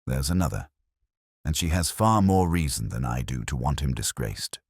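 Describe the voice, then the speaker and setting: Male reader as frightened lady in a detective audiobook: guarded, urgent confider, low pitch, dry close sound. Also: expressive, moderate pace, breathy edge, no echo, exaggerated voice. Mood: anxious confession. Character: anxious, fearful married woman confiding a secret.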